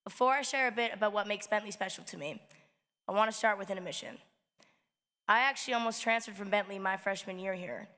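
A hoarse young woman with a raspy voice, giving a casual, chatty welcome speech as student government president at a lectern mic. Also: medium pitch, fast talker. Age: young adult. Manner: casual, chatty, lively gestures. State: hoarse from screaming. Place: large arena, indoors. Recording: long hall reverb.

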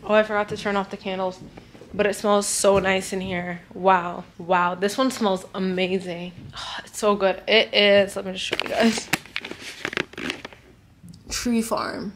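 A young woman vlogging at home, chatting casually and directly to her viewers with a relaxed, talkative manner, recorded on a camera set a few feet away. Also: mid-range voice, a little slow, pauses often, roomy sound, a little hiss or hum. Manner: chatty, relaxed, speaks straight to viewers with filler "like". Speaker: lifestyle vlogger. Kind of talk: casual vlog chat to camera. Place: indoors at home, large room.

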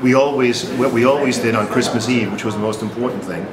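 A man's voice: medium pitch, fast, a loud crowd. A man in his sixties from New York whose first language is Sicilian, reminiscing about family Christmases in an interview with many fillers and restarts. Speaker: interviewee, English professor. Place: indoors in a museum room. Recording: roomy echo with room noise.

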